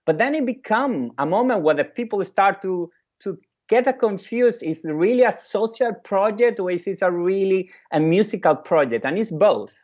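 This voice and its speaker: A man from Venezuela, a native Spanish speaker with a Venezuelan accent, giving a keynote speech with personal stories in a halting way, repeating phrases and pausing. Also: medium pitch, highs cut off. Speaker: keynote speaker, music educator and orchestra leader.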